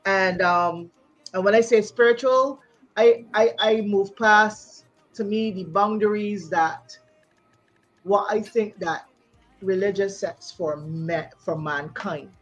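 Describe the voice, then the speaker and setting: A woman from Barbados, a singer and entrepreneur, talking in an animated, casual chat full of "you know". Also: medium pitch, takes it slowly, dull audio, faint music behind.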